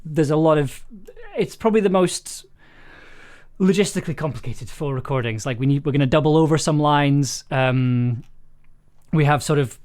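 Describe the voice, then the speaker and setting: A man's voice: on the high side, moderate pace, a little mellow. A man hosting a casual live stream about making audio drama, joking at his own expense and laughing readily. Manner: jokey, self-deprecating, prone to laughing. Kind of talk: casual livestream chat.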